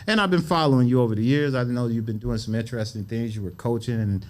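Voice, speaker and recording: A man's voice: mid-range voice, quick pace, slight background noise. A man hosting a podcast interview in a studio, easygoing and slangy. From Cleveland, Ohio. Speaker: podcast host. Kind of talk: casual interview chat.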